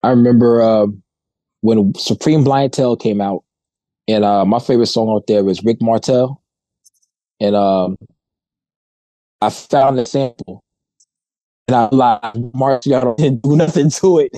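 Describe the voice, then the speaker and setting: A man hosting a podcast, telling stories in a slangy, animated, casual way. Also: medium pitch, measured pace, long pauses, dry recording, low-quality recording. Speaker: podcast host.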